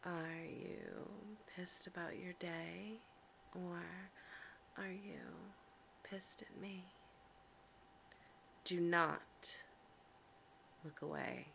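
A dominant female partner acted by a woman speaks in an intimate roleplay, affectionate yet in command. Her voice is slightly low and gravelly, and she takes her time with deliberate control. There is some background noise on the recording. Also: steady tone, exaggerated voice. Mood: commanding, sultry. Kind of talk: intimate solo audio roleplay addressed to the listener.

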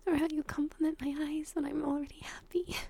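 A woman playing a tender, emotional young girlfriend in an intimate roleplay audio drama, speaking softly and breathily, often in whispers, with affection. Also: sits high, little pitch movement, a little fast, vocal fry. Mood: hesitant, tender confession.

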